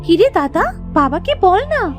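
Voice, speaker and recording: An eager little village girl in a children's cartoon, with a tiny, very high voice. She is childlike, pleading and easily frightened, swinging up and down in pitch over music. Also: big pitch swings, normal speed.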